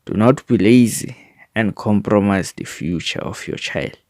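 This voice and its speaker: A man with a South African accent reading a prepared manifesto in a formal, deliberate manner, occasionally stumbling over a word. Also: fairly low pitch, darkish tone, no room sound, clean studio sound. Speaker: reader of a sport development framework.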